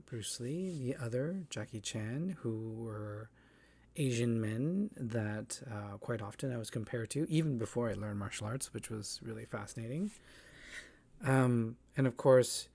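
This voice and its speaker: A man giving a reflective spoken monologue as a theatre artist, talking thoughtfully with many pauses, fillers and restarts. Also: mid-range voice, gravelly, a little mellow. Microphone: voice-over, face not shown.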